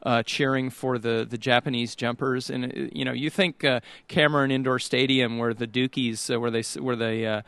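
A man's voice: mid-range voice, steady tone, moderate pace, vocal fry, hardly any pauses, a bit bright, no room sound. A man speaking as a sports correspondent in a radio conversation, rambling with lots of fillers and restarts.

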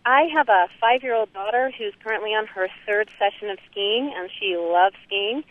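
A woman's voice: mid-range voice, moderate pace. A woman calling in to a radio show, friendly and upbeat. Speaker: caller. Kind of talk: call-in comment on radio. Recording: tinny phone line.

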